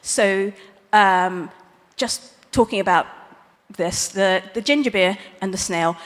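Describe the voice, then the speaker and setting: A woman's voice: medium pitch, slow. Woman lawyer speaking in a reverberant hall. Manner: plain explaining with light self-aware humor. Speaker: lawyer giving a conference talk. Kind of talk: explanatory conference presentation. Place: large conference hall stage. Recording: long reverb of a big hall.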